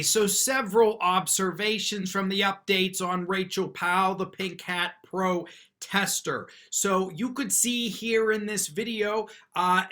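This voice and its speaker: A man's voice: sits high, bright, forceful tone. A man giving casual, chatty, off-the-cuff commentary on a news clip as a lawyer hosting his own show. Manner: informal, chatty. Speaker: lawyer commentator, channel host.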